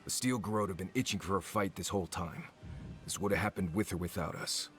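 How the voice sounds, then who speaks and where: Reflective game adventurer, deep register, sober temper. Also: male voice, a little fast, a bit bright, faint music behind. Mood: grave. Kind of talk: video game cutscene dialogue.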